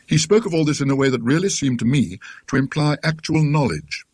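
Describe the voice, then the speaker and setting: An earnest, donnish English gentleman played by a male narrator from a gothic ghost story. His husky voice rises and falls widely, suspicious and emphatic, in a dry close recording. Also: on the high side, lively intonation, a little fast, dry recording, voice pushed up. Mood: grave, suspicious. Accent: British.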